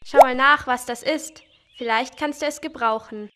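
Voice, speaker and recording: A woman's voice: sits high, normal speed, natural voice. A woman voicing Lolli, a cheerful and friendly young girl in a children's game, speaking eagerly and sweetly. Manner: bright, eager, sweetly pleading.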